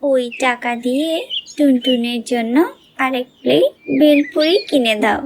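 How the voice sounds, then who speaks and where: Woman doing a squeaky-high cartoon mother bird. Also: measured pace, noisy background. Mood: insistent, scolding. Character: loving but possessive, tight-fisted mother songbird wife. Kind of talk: sentimental cartoon dialogue. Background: music and birdsong.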